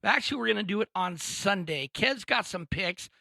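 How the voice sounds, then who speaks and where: A man co-hosting a casual sports podcast. He talks in an energetic, chatty way with frequent restarts. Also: moderate pace, vocal fry, no room sound. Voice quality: high-pitched voice. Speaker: podcast co-host.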